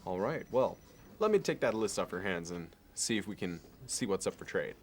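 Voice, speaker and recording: A man playing a polished, courteous and meticulous finance executive in an ASMR roleplay, speaking in a measured, halting and formal way. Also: low voice, sing-song, a little hiss or hum. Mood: polite, businesslike. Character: polished, courteous, obsessively appraising male Manhattan finance executive.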